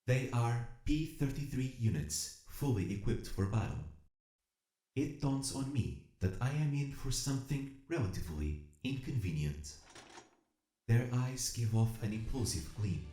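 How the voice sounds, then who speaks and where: A man's voice: mid-range voice, dark tone, echoey room, lightly acted. A man playing an earnest, determined, calculating combat robot, narrating an audio drama in the first person with formal, precise diction. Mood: cool calculation. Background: music.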